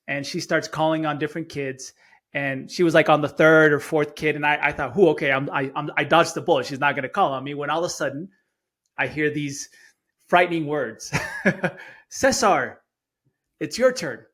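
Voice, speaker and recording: Middle-aged man from chile, spanish his first language, slightly high voice, earnest storyteller, stumbles and repeats at starts. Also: fairly bright tone. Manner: earnest, repeats words when starting phrases. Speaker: guest speaker. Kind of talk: storytelling in an interview.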